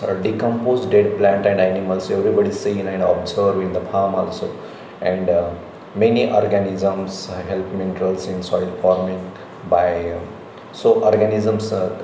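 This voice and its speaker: A middle-aged man with an Indian accent giving a slide lecture as a college professor, speaking in halting, repetitive phrases. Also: low voice, warm tone. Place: indoor room, recorded lecture. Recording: very echoey room, faint noise.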